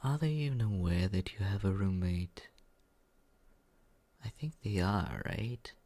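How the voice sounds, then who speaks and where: A man playing a smug, condescending but secretly caring young roommate in a teasing ASMR roleplay, with breathy, husky whispering and murmuring. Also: deep voice, lively intonation, warm tone, heavily acted. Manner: teasing, condescending, slow drawl.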